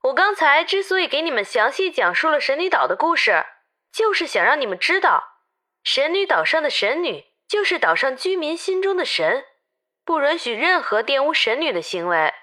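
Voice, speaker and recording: Bright, animated female tour guide, acted by a woman. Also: a little high, unhurried, heavily acted. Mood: loud, emphatic. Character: lively female tour guide telling an island legend to travelers. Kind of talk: dramatized storytelling in an audio novel.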